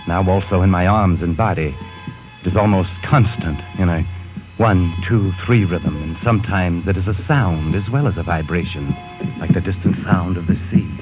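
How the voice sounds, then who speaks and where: Brief: a man as a deep-voiced murderer, brooding journal narration, lo-fi old radio broadcast under music. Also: moderate pace, natural voice. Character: obsessed male murderer writing his journal. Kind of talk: 1940s radio drama acting.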